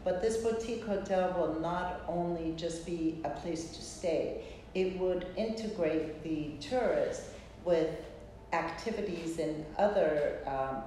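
A middle-aged woman being interviewed as a guest, explaining thoughtfully and haltingly in a conversational way. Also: sits low, warm tone. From Greece. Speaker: interview guest, hospitality entrepreneur. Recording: echoey room, distant mic, faint noise.

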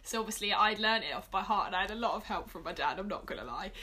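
A woman's voice: medium pitch, animated, fast, pushed and bright. A nineteen-year-old woman with a British accent, talking casually as a guest on a podcast. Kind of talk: casual chat.

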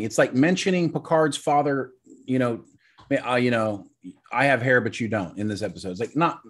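Man, middle-pitched co-host of a casual review podcast, talks things through at length. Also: breathy edge. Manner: measured, rambling explanations with fillers.

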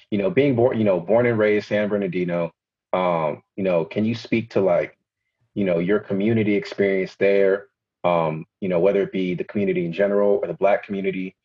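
Deep husky dude hosting, rambly questions w lots of um and you know, dull lo-fi sound. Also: male voice, steady tone, normal speed. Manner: rambling, hesitant, many fillers and restarts. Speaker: podcast host. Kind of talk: casual interview questions. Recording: dull lo-fi podcast audio.

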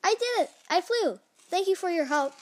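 Woman putting on an excitable, high little piggy girl. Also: unhurried, slight background noise, full character voice. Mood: eager, excited. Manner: excitable, exclaiming. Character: eager, determined little girl pig from storybook.

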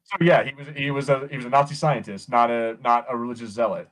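A man's voice: medium pitch, steady tone, fast talker, fairly bright tone, low-quality recording. A man co-hosting a podcast in casual pop culture banter, with a sweary, sardonic way of talking. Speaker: podcast co-host.

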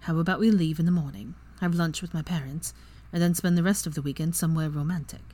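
A woman voicing a tender, brooding young wizard in a low, quiet, hushed voice. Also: steady tone, fast. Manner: quiet, hushed, near whisper.

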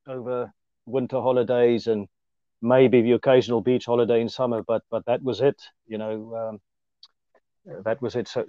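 A man in his thirties from South Africa with an Afrikaans accent, speaking as an interview guest in a relaxed, hesitant way with many fillers. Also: mid-range voice, no echo, lo-fi sound. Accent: Afrikaans-accented South African English. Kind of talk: casual conversational interview.

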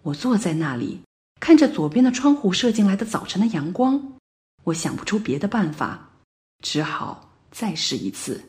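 A woman playing a bewildered Paris resident in a radio play monologue, telling her story deliberately with dramatic swells. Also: medium pitch, very animated, darkish tone, close to an everyday voice. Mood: puzzled, growing anxious. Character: woman living in Paris, bewildered, recounting a looping morning.

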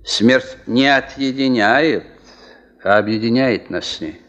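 An actor in a Soviet radio drama playing a gravely ill veteran geologist, a wry, philosophical man who talks in a measured, resigned way. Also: medium pitch, a bit bright. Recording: muffled, long reverberant radio-play sound.

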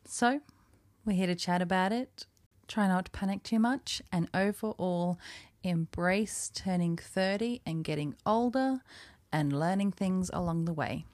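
A woman's voice: on the low side, a little slow, a little mellow, dry recording, studio quality. A woman in her twenties hosting a casual solo podcast, chatty and self-deprecating, often laughing at herself. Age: 20s. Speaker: podcast host.

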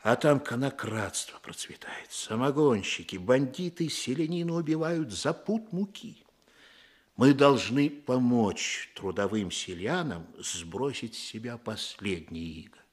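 An actor playing an earnest, fatherly Soviet police chief in a radio drama, coaxing and emphatic, with a husky voice. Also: medium pitch, animated, measured pace. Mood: earnest, persuasive. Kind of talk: Russian radio drama acting.

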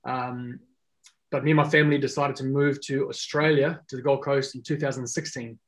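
Relaxed man, casual. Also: medium pitch, moderate pace. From South Africa. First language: Afrikaans. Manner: rambling, many fillers and restarts. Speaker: guest. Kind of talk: casual podcast chat.

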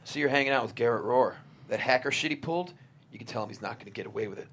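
A man playing a smug, loud teenage boy bully in a script table read. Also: mid-range voice, racing, slight background noise, natural voice. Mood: taunting.

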